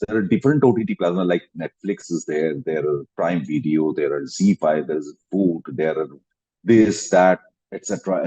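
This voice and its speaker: A mellow, slightly husky man in his fifties speaks with an Indian English accent. He answers interview questions at length, restarting phrases and lifting his voice for emphasis. The sound comes through dull, lo-fi video-call audio. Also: lowish voice. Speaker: guest actor.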